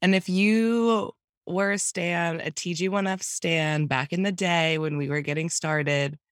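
A young woman co-hosting a casual chat podcast, playful and quick to laugh, sounding sick with a cold. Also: lowish voice, fairly even intonation, clear, resonant tone, no room sound, clean studio sound. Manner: playful, laughing often, announcer-like. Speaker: podcast co-host.

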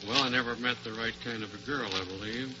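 A man's voice: medium pitch, quick pace. A man in his forties from Seattle, answering politely and briefly as a contestant on an old radio quiz show. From Seattle, United States. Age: 40s. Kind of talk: answering interview questions. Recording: noisy muffled old radio recording.

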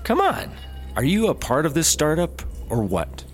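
An earnest, gullible young-at-heart startup worker in a comedy audio drama. His pitch leaps around excitably and he overplays every line. Music plays clearly behind him. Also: normal speed. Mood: bossy, upbeat.

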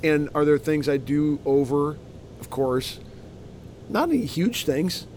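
A man's voice: on the high side, lively intonation, takes it slowly, slight background noise. Podcast host man in his forties. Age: 40s. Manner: warm, affirming, reflective questions. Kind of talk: casual interview. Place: outdoor conference grounds.

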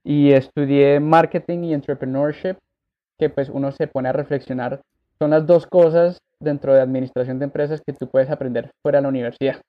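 A man from Colombia, raised abroad, telling his life story as a podcast guest in a relaxed way with many fillers. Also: mid-range voice, fairly quick, dull audio. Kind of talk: conversational interview.

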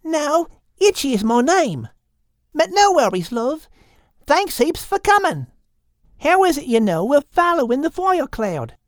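A woman's voice: high-pitched, very animated, measured pace, dry recording, clean studio sound, pitched above the performer's own voice. A woman voicing a chirpy female bearded dragon from the outback, with an Australian accent and a friendly, slangy, breezy way of talking.

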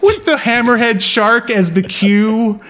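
A man's voice: very high-pitched, expressive, slow pace, a bit bright. Casual, rambling male podcast co-host. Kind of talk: casual unscripted chat.

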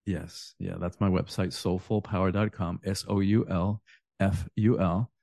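A middle-aged man from Cuba talks as a guest in a relaxed interview. His voice sits low and mellow. The sound is dry and close. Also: measured pace, gravelly, no room sound. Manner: earnest, repeats words when starting a thought. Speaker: author and podcast guest. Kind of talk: casual interview talk.

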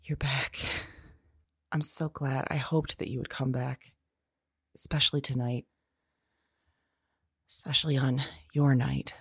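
A woman playing a frightened, lonely, tender woman resigned to doom in an audio drama, speaking haltingly and hushed, sometimes whispering. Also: a bass voice, fairly even intonation, breathy edge, dry recording, studio quality, full character voice. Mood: relieved, tense. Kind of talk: intimate audio drama monologue.

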